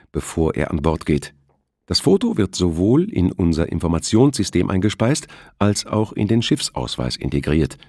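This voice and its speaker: A man's voice: low-pitched, a little mellow, breathy edge, no room sound, clean studio sound, full character voice. A man voicing a polite, businesslike female ship officer in an audiobook, explaining things courteously.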